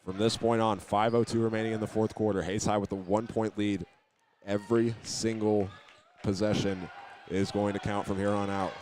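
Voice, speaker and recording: A man with a lowish voice does live basketball play-by-play in short, clipped phrases. His pitch stays on the flat side as he describes the action plainly. Light gym noise and the game sit behind him. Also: normal speed. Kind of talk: live radio play-by-play sports commentary. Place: indoor high school basketball game.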